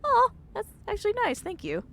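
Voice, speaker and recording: A woman giving casual game commentary and reading narration aloud, with giggly, excitable reactions. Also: very high-pitched, a little fast, gravelly. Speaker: streamer playing and reading aloud a visual novel.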